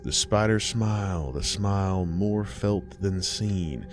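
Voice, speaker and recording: A man narrating a horror story in a measured, ominous way. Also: low-pitched. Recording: music bed behind.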